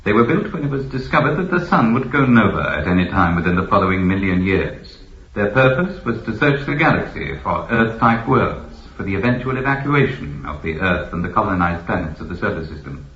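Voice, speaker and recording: An actor playing a formal, authoritative keeper of an ancient imperial library in a science fiction radio drama. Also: male voice, deep voice, big pitch swings, a little fast, a bit bright, dull audio, a little hiss or hum. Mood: informative, detached. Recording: echoey, band-limited old radio recording.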